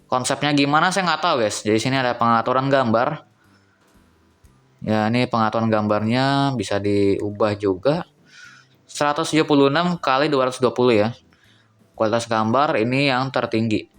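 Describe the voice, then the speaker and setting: A man's voice: medium pitch, normal speed, a bit bright, clear, resonant tone. A young man, casually reviewing a cheap phone on camera, thinking aloud and talking to viewers as guys.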